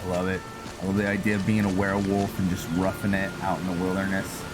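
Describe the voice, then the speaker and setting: Casual male gamer over loud game music. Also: sits low, flat delivery, fast. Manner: informal, thinking aloud. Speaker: gaming host and role-player. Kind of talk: casual playthrough commentary with roleplay. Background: game music, rain sounds.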